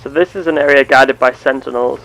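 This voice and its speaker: A man voicing a curious, earnest space explorer with measured, formal, theatrical phrasing, narrating a sci-fi game roleplay. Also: mid-range voice, steady tone, vocal fry, a bit bright, rough recording. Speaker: gameplay host.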